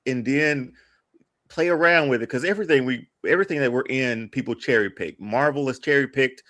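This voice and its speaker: A man's voice: medium pitch, fairly quick, bright, forceful tone. A man chatting casually as a podcast panelist, slangy and emphatic, often repeating his phrases for weight.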